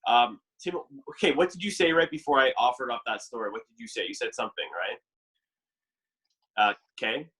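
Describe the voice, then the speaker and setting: A young man from Canada chats on a podcast with a bright, clear-edged voice at an ordinary middle pitch. He rambles easily and leans on fillers and "like" as he tells his stories. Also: a little fast, poor audio. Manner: chatty, lots of fillers and "like". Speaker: dating show contestant. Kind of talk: casual podcast chat.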